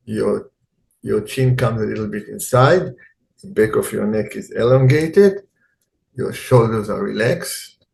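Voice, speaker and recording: A man teaching guided meditation in a calm, unhurried way, speaking in short phrases with long pauses. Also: on the low side, vocal fry.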